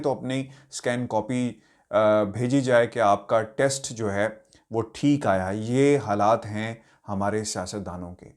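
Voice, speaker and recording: A man with a Pakistani accent hosting political news commentary, addressing viewers in a hesitant, halting way with deliberate emphasis on key words. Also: lowish voice.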